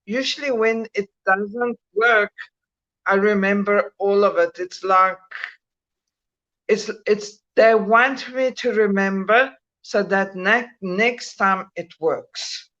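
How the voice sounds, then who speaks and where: A woman with a mixed South African and Italian accent, a guest on a video call, telling a story slowly with many hesitations. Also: mid-range voice, a bit bright. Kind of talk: casual chat, recounting a dream experience. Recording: muffled bad connection.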